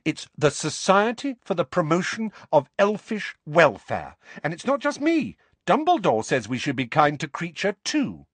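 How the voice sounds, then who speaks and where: A male reader puts on a teenage girl for a storybook. She is earnest, bossy and easily indignant, speaking in a raised, insistent pitch. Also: very animated, unhurried, breathy edge, no room sound, exaggerated voice. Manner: heated, insistent.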